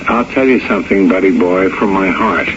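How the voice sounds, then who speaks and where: An actor playing a grim, knowing, cynical confidant in a 1970s radio drama, blunt and sardonic, on a muffled, noisy old recording. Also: male voice, sits low, lightly acted. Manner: deliberate, sardonic, blunt delivery.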